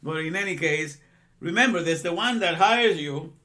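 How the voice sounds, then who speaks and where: Man in his sixties, religious teacher, loose rambling delivery, bright, slightly raised voice. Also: fairly high pitch, expressive, moderate pace. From Guatemala. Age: 60s. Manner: rambling, repeats himself, frequent "you know".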